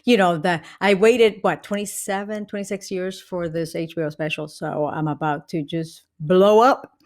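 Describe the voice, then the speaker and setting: A middle-aged woman from Puerto Rico with a Puerto Rican accent, a comedian guest in a casual podcast interview, talking in an animated, joking way with quick asides. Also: on the low side. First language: Spanish.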